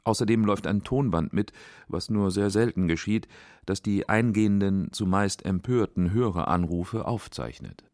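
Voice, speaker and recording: A man's voice: on the low side, normal speed, a little mellow, dry recording, clean studio sound. A man reading scripted narration for a radio documentary. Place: dry studio.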